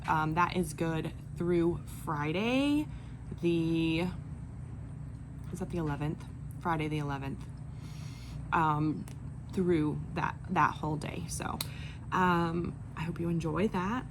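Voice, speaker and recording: A woman in her forties chatting casually in a vlog, rambling and self-deprecating as she goes. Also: mid-range voice. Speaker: video creator, online clothing reseller. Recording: clear background noise, room ambience.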